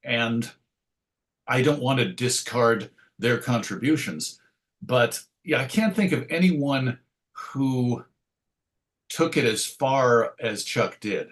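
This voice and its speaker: A man's voice: medium pitch, lively intonation, takes it slowly. A man speaking as an interview guest, conversational and thoughtful, illustrating his points with anecdotes and quoted asides. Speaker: interview guest, radio show host.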